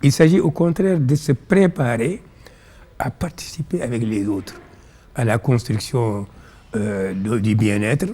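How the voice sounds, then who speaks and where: A man with a Senegalese accent and Pulaar as his first language, explaining ideas in measured, didactic phrases as an interview guest. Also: mid-range voice, dark tone. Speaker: interview guest, philosophy author.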